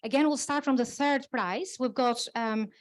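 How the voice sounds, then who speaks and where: A woman's voice: high-pitched, normal speed, dry recording. A woman presenting journalism awards, speaking earnestly with frequent hesitations. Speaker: jury member presenting awards. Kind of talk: awards announcement.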